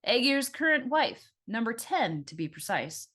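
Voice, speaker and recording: A woman's voice: fairly high pitch, very animated, measured pace, fairly bright tone, voice pushed up, exaggerated voice. Woman as an easygoing, gossipy male bartender. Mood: knowing, hushed.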